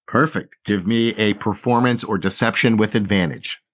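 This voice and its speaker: A man running a tabletop game as game master, relaxed and full of fillers. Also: on the low side, moderate pace, a little hiss or hum.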